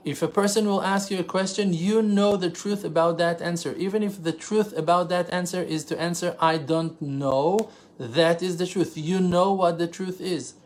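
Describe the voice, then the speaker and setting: A man's voice: sits high, steady tone. Israeli man giving an earnest religious lesson. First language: Hebrew. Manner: earnest, emphatic, rhetorical questions; halting, pauses and self-corrections while translating. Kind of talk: spontaneous religious teaching.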